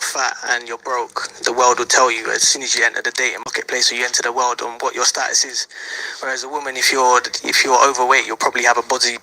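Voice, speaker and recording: Brief: twenties male co-host, monotone, bright, matter-of-fact. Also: mid-range voice, poor audio. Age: 20s. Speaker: podcast co-host.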